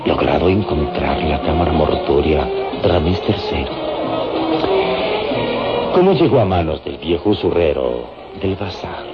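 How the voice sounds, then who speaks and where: An actor playing a wise, heroic adventurer who thinks aloud gravely and with measure in a radio drama. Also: male voice, very deep voice, sing-song, unhurried, long pauses, exaggerated voice. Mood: pondering. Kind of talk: Mexican radio drama monologue. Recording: dull radio recording under loud music.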